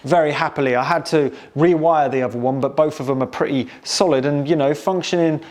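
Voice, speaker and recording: A man's voice: fairly high pitch, fairly quick, a little mellow. A British man giving a casual, enthusiastic, chatty hands-on demonstration as he presents a restoration project.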